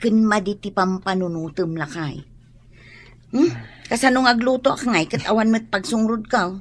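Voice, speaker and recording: A woman's voice: medium pitch, normal speed, close to an everyday voice. A woman with an Ilocano Filipino accent playing a skeptical, practical village wife in a comedic radio drama. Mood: doubtful.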